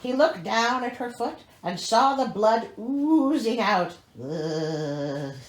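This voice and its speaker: A woman's voice: a little high, roomy sound, rough recording. A woman reading a storybook aloud as host, theatrical and wry, breaking in with exasperated, sarcastic asides.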